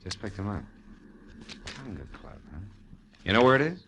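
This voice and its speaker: An actor playing a tough, wry private detective, clipped and sardonic, in 1940s radio noir style. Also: male voice, fairly low pitch, very animated, moderate pace, fairly bright tone, slight background noise. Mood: brisk, wry. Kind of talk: 1940s radio noir acting with first-person narration. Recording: old radio broadcast with music.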